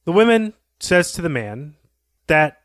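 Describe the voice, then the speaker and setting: A man's voice: a little high, big pitch swings, a little slow, clear, resonant tone, dry recording, clean studio sound. A man co-hosting a podcast, retelling a story and commenting on it as he goes. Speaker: podcast co-host.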